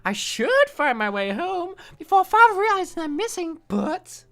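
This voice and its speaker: A man voicing a tiny gnome-like adventurer girl in a visual novel, squeaky with a strained smoker's rasp. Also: very animated, measured pace, fairly bright tone, exaggerated voice. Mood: anxious.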